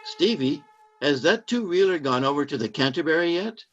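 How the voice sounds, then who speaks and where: A man's voice: on the high side, lively intonation, normal speed, soft background music. Man acting secretive husband, swooping voice. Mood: insistent, urging. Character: controlling, secretive saboteur husband posing as kindly cinema owner. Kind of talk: British-set radio play acting. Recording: dull lo-fi streamed audio.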